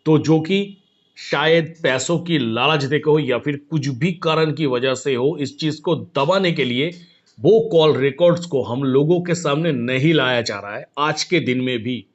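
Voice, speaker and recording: A man's voice: on the high side, unhurried. A man giving opinion commentary to viewers, speaking insistently with repeated points and rhetorical questions. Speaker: online commentator. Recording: dull, lo-fi sound.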